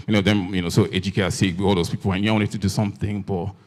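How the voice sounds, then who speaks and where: A man from Port Harcourt with a Nigerian accent, a writer speaking haltingly with many ums and restarts on a festival panel. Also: deep voice, little pitch movement, darkish tone. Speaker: author on a panel. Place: festival event hall.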